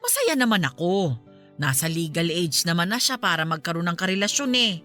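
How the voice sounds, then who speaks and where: A woman's voice: lowish voice, sing-song, brisk, bright, forceful tone, soft background music. A woman playing a suspicious middle-aged mother chatting conversationally in a radio drama, with a Filipino accent. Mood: suspicious, gossipy.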